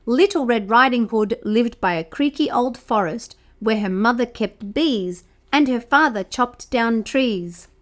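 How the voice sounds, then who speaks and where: A woman reading a picture book aloud to toddlers as a storyteller, expressive and speaking directly to young listeners. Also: a little high, measured pace, few pauses. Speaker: children's storyteller.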